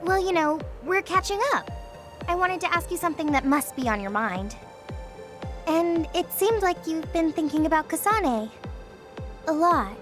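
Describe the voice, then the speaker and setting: A young female soldier from an anime game, with a high voice over background music. She sounds outgoing and casual, friendly with a slightly coy, teasing edge. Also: lively intonation, normal speed. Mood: coy, probing.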